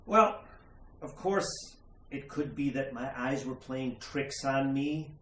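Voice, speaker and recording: A man's voice: medium pitch, slow, sharp tone, slight background noise. A man playing a polite, puzzled older judge in retro radio drama style, hesitant, stammering and folksy. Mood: uneasy, uncertain. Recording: echoey, roomy recording.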